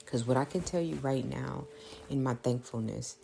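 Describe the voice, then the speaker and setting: A woman from the American South speaks in a very deep register with plenty of gravelly fry and a mellow, dark tone. Her carries an earnest, reflective monologue that slips into prayer. She halts and repeats phrases as she thinks out loud. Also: normal speed, faint music behind. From small-town Louisiana, United States. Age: late 30s. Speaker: podcast host.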